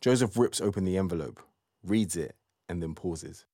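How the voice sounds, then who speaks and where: A man narrating an audio play in a measured, scripted storytelling style. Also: deep voice, warm tone, dry recording, clean studio sound.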